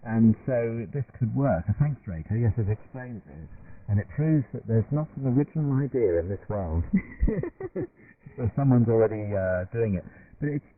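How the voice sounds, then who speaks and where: A man's voice: mid-range voice, sing-song, normal speed. A man chatting casually as a panelist in a radio discussion. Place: radio studio. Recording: distant, noisy, tinny shortwave radio reception.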